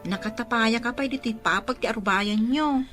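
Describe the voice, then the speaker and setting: A woman's voice: fairly high pitch, normal speed, fairly bright tone, faint music behind, lightly acted. A woman with an Ilocano accent playing a practical, chatty neighbour in a radio drama, talking matter-of-factly.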